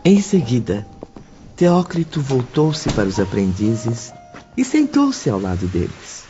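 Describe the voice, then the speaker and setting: Man as expressive storyteller over music. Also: mid-range voice, takes it slowly. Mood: composed. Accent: Brazilian. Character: solemn male storyteller of a spiritual tale. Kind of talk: scripted narration. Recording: muffled, music bed behind.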